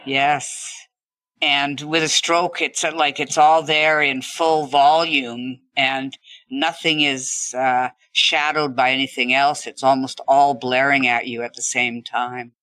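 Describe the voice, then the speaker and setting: Woman guest chatting on an interview, bright clear-cut tone, tells little stories, really dry sound. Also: extremely low pitch, steady tone, slow, no room sound, rough recording. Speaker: interview guest, stroke survivor. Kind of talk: conversational personal storytelling.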